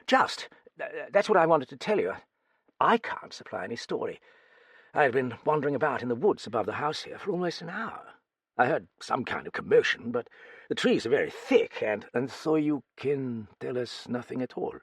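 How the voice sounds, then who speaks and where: A man voicing a worried, earnest young man who stammers and rushes his words. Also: mid-range voice, very animated, a bit bright, husky, dry recording, pitched above the performer's own voice, heavily acted. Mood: flustered.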